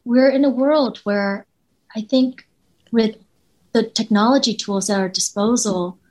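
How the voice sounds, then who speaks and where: Kobieta z tajwańskim akcentem, refleksyjna. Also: female voice, a little high, measured pace. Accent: Taiwanese. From Taiwan. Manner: earnest, warm, builds points with rhetorical questions. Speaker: guest, professor. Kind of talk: reflective interview answers.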